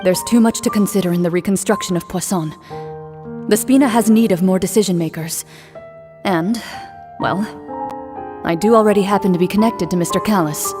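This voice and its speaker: An actress playing a composed, reserved female duelist in a video game, speaking in a calm, formal, dry manner. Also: mid-range voice, brisk, over music, close to an everyday voice.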